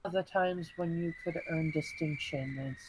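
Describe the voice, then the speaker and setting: A woman's voice: deep voice, fairly even intonation, measured pace, background noise. A woman panelist giving casual, offhand reactions in conversation. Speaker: podcast panelist.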